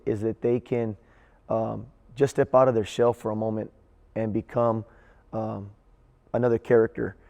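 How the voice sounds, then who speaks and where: Male theater director, soft dark tone, flat intonation, halting interview delivery. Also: mid-range voice, gravelly. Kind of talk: interview answers.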